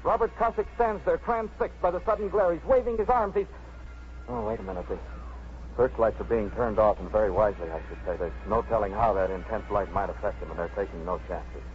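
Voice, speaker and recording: An actor playing a grave radio news announcer giving dramatic live commentary in a 1950s radio drama. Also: male voice, lowish voice, a little fast, a little hiss or hum, close to an everyday voice. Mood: dramatic, hushed. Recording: muffled 1950s radio broadcast.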